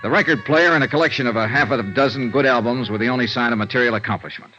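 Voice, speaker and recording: An actor playing a world-weary, dry American investigator in a 1950s radio noir drama, with clipped hard-boiled delivery. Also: male voice, mid-range voice, racing, sharp tone, faint music behind, natural voice. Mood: somber narrating. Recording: muffled vintage radio recording.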